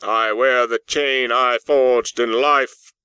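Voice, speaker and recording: A mournful ghost in chains, acted by a man, declaims very slowly and solemnly. The words are drawn out with woe, as in a dramatic storybook reading, and the recording is dry. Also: mid-range voice, steady tone, pushed and bright, clear, resonant tone, no room sound, poor audio. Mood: grave, accusing.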